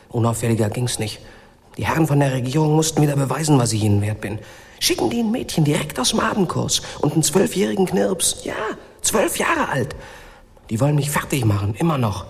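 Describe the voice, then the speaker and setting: An actor playing an embittered, fed-up male secret agent, gravelly, sarcastic and clipped, in a radio drama. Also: medium pitch, close to an everyday voice. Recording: long reverberant echo, faint noise.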